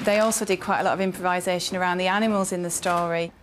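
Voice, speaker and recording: A woman's voice: fairly low pitch, steady tone, very fast, some chatter behind, slight background noise. A British woman, a primary school teacher, giving conversational interview answers.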